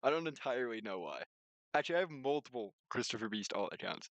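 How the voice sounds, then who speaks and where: A man's voice: medium pitch, lively intonation, fast talker, fairly bright tone, dry recording, lo-fi sound. A man livestreaming in a casual, rambling, slangy way, chatting and explaining things informally on a close microphone. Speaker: live streamer and game developer.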